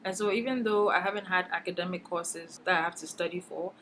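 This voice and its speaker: A woman from Ghana, a master's student, describing her experience steadily and calmly. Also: fairly low pitch, a little fast, slight background noise, rough recording. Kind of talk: personal testimony.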